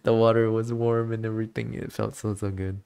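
A man's voice: fairly low pitch, little pitch movement, fairly quick, creaky, darkish tone, smooth. A man streaming a game with casual, musing commentary, a little hesitant as he thinks aloud.

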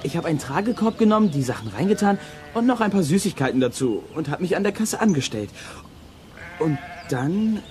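Lively, hot-tempered boy adventurer. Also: fairly high pitch, brisk. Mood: excited recounting. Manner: cheeky, irritable, emphatic. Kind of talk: German children's audio drama acting.

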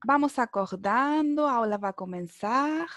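A woman with a high-pitched voice leads an online language lesson. She encourages her pupils and keeps checking that they follow with little tag questions. The sound is dry, with very little room in it. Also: animated, a little slow, a bit bright, dry recording. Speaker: language teacher. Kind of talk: teaching an online beginner Portuguese class. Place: indoor room, online video class.

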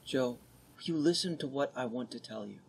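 A man playing an ardent, boyish young gentleman, earnest and pleading, in an old-time radio drama. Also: mid-range voice, lively intonation, brisk, creaky, breathy edge.

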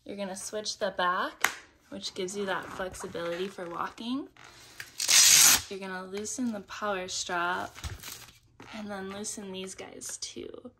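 A woman's voice: mid-range voice, fairly even intonation, measured pace, very noisy. Casual woman walking through ski gear. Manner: friendly, explains step by step while handling gear. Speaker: outdoor gear vlogger. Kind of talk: casual how-to demonstration.

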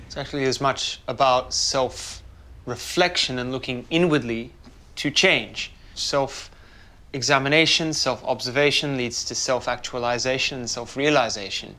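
A man in his thirties speaks calmly and earnestly, with a slightly pompous air. His voice sits at an ordinary middle pitch. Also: measured pace. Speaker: comedy sketch character. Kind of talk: scripted comedy sketch.

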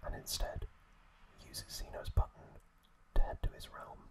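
Slow whispering man, ASMR. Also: fairly bright tone, low-quality recording. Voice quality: breathy whisper. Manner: slow, halting phrases with pauses. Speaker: ASMR host. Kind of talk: whispered ASMR storytelling recap. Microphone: close microphone.

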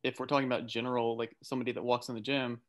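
Mid-pitched man thinking aloud. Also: flat delivery, very fast. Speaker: coach. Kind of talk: casual explanation. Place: indoors on a group video call.

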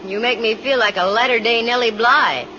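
A woman's voice: a little high, moderate pace, noisy background, natural voice. An American actress playing a wry, self-assured female private investigator in a 1950s radio drama, with dry wisecracking delivery. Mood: wry, breezy. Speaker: lead actress and narrator. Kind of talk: 1950s radio detective drama acting, with first-person narration. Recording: muffled old radio recording, music behind.

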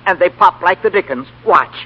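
An actor playing a mild, eager husband in 1930s radio comedy, on a muffled old recording. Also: male voice, high voice, moderate pace, very noisy, close to an everyday voice. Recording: muffled 1939 radio broadcast, loud music.

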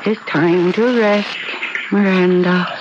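A woman's voice: on the low side, fairly even intonation, slow pace. A woman playing a clingy little girl who scolds her doll in a sing-song, babyish way, in a radio drama. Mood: playful scolding. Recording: muffled, very hissy old radio recording.

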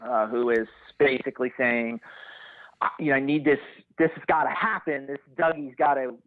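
A man speaking as a podcast guest in casual analysis, hesitantly thinking out loud as he goes. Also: medium pitch, fairly even intonation, normal speed, no room sound, narrow, tinny audio.

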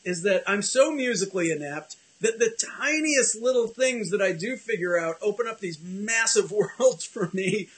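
A man's voice: high-pitched, animated, moderate pace, few pauses, fairly bright tone. A middle-aged man hosting a casual video call chat from his home office, rambling and self-deprecating with many restarts. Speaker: host.

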